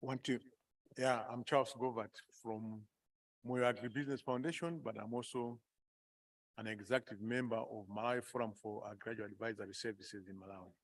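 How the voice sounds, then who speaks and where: A man, a meeting participant, introducing himself briskly. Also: fairly low pitch, fairly even intonation, poor audio. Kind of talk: self-introduction.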